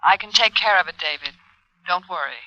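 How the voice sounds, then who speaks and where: A woman's voice: lowish voice, fairly quick, sharp tone, dry recording, soft background music. A woman playing an anxious, devoted wife plotting with her lover in a vintage radio melodrama, earnest and breathless. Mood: resolute. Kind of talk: 1940s-1950s radio drama acting, melodrama. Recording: muffled vintage radio recording, slight noise.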